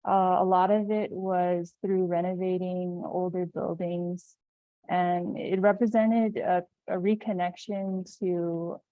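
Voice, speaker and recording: Woman from Kuwait, low voice, slow and reflective, thin phone-like call audio, dry sound. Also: no room sound. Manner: soft-spoken, reflective, with frequent fillers. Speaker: invited speaker. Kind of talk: presentation on a video call. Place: home in New Mexico. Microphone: video call.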